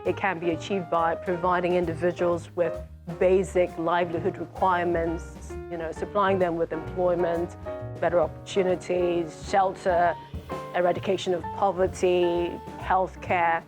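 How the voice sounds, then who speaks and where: Woman author reading her book out loud, lowish voice, explains as she goes, music behind her. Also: flat delivery, normal speed. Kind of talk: book reading with spoken commentary.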